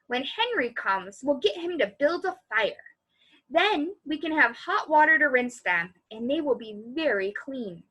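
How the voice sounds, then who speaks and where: A woman's voice: high-pitched, big pitch swings, a little slow, fairly bright tone, highs cut off, full character voice. A woman reading a children's storybook as a kindly, sensible girl who encourages and organises like a motherly older sister. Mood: practical.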